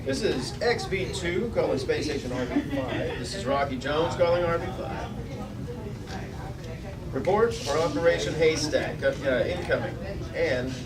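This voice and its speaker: A man reading the part of a male space pilot reporting by radio in a measured, halting way. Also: fairly high pitch, expressive, over a noisy crowd. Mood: businesslike. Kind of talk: table read of a sci-fi script. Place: restaurant dining room table. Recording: distant mic in busy restaurant.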